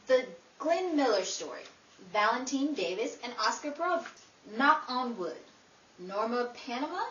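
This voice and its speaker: A woman reads nominee lists as a presenter, high and bright with lots of swing in pitch. The room echoes around her, with faint hiss. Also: distant mic, highs cut off. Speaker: award presenter. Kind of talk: scripted reading of nominees.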